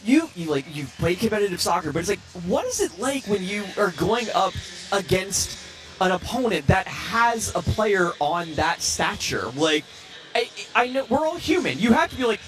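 High, lively sports radio host. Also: male voice, normal speed, music in the background. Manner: animated, laughs along.

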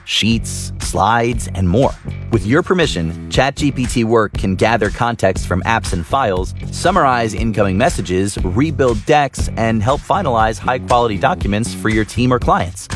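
Calm low male ad voice over music. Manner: calm, conversational read. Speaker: ad announcer. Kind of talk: scripted tech commercial. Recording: commercial with music bed.

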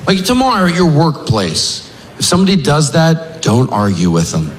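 A man's voice: fairly high pitch, sing-song, moderate pace. A man performing a stand-up routine, mimicking others in squealing voices. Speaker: stand-up comedian. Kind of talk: stand-up routine before a live crowd. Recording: live club set, roomy with loud crowd. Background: laughing audience.

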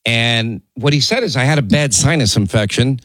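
A man's voice: mid-range voice, moderate pace, fairly bright tone, dry recording, poor audio. A middle-aged man hosting a political talk radio show, rambling conversationally with asides and fillers while getting over laryngitis. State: recovering from laryngitis. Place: radio studio. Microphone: studio microphone.

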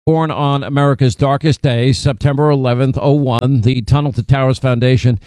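A man's voice: mid-range voice, steady tone, brisk, a little mellow, dry recording. A man reading an earnest charity appeal in a sincere, pleading tone.

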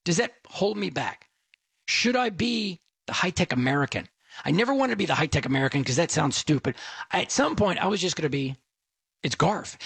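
Gravelly fast-talking man hosting a radio show. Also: high-pitched, dry recording. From Texas. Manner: fast, animated talker. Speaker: radio tech show host. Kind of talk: casual radio monologue.

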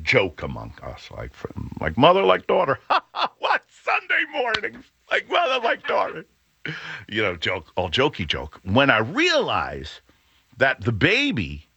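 Middle-aged male radio host, booming and animated, with a bright, husky tone and a lively pitch. He rambles and restarts as he chats. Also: no echo. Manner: rambling, self-interrupting, laughs at own jokes. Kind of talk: casual storytelling chat.

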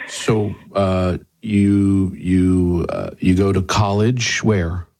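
A man's voice: deep voice, fairly even intonation, extremely slow, a little mellow. A man hosting a radio show, speaking in a conversational interviewing style.

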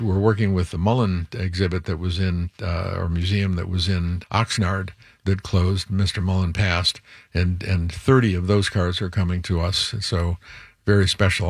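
An older man with a very low voice talks as an interview guest. He rambles a little, restarting and correcting himself as he strings together lists. The sound is dry and close, with no room echo. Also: gravelly, husky. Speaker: museum executive guest. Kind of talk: interview conversation.

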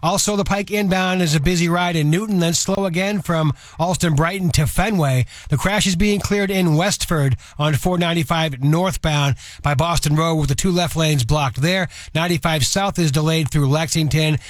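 A man's voice: on the high side, normal speed, dry recording. A man giving a live traffic report on the radio.

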